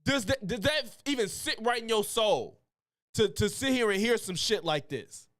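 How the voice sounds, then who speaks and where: A man's voice: high-pitched, animated, normal speed, fairly bright tone, dry recording, studio quality. A man doing live reaction commentary in a heated, profane, slangy manner. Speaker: political commentary streamer.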